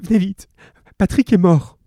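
A man voicing a cheerful, eager housewife in a read-aloud story, in a raised, sweet falsetto. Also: big pitch swings, normal speed, warm tone, no room sound, clean studio sound. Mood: sobbing.

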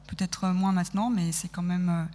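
A woman in her thirties, a radio guest, explaining her project in a thoughtful, halting way and correcting herself often. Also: lowish voice, fairly even intonation, warm tone, in a large hall. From France. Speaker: guest, sound podcast maker. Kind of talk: radio interview conversation.

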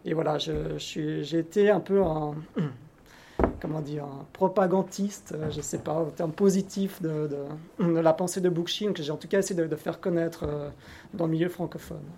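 Soft-toned man introducing himself. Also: a little high, fairly even intonation, normal speed, creaky, a little hiss or hum. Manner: searching for words. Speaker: speaker handing over. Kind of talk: unscripted spoken reflection.

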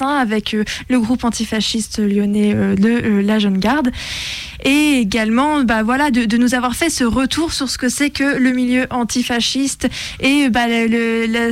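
Higher-voiced woman, close dry sound. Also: no echo. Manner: hesitant, halting phrasing. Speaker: radio show co-host. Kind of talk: unscripted talk show commentary. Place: radio studio.

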